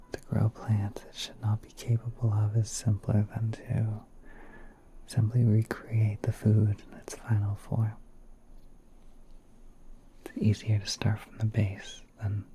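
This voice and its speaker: A man playing a gentle, courteous spider prince in a whispered ASMR roleplay, speaking softly and politely in an unhurried, musing way. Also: on the low side, a little hiss or hum. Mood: gently musing.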